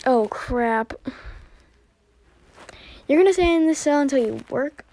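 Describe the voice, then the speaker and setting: High-pitched woman acting out cartoon dialogue. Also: lively intonation, measured pace, dark tone, a little hiss or hum, rough recording. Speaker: amateur voice-over performer. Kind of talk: acted dialogue for homemade animated story.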